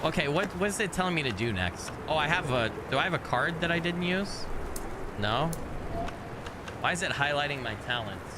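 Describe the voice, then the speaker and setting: A man's voice: a little high, expressive, normal speed, very noisy. A man from the United States chatting casually with viewers while streaming a game, with a faint regional accent and a rambling way of talking that restarts sentences often. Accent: faint American regional. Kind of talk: casual gameplay chat with viewers.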